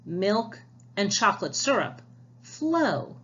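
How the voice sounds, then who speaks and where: A woman's voice: mid-range voice, big pitch swings, takes it slowly, fairly bright tone, slightly rough, lo-fi sound, exaggerated voice. A woman voicing a patient female teacher at a storybook magic school, explaining and questioning.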